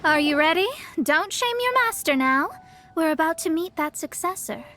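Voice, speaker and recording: A woman's voice: very high-pitched, lively intonation, normal speed, pushed and bright, slight background noise, heavily acted. A woman voicing a proud, self-assured young female astrologer in a video game, with imperious, formal phrasing. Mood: lecturing.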